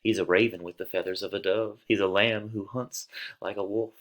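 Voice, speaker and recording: Lovesick young girl in a stage tragedy, acted by a man, low-pitched, declamatory, emotional. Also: fairly even intonation, a little fast, a bit bright, natural voice. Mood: bitter. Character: lovesick young girl, impatient, then anguished and grieving. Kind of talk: dramatic reading of a modernized stage tragedy.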